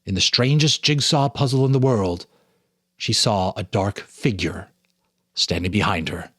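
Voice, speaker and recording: A man giving a dramatic reading of a ghost story, with suspenseful, deliberate phrasing and dramatic pauses. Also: fairly low pitch, fairly bright tone, no room sound, studio quality. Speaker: storyteller, narrator and host.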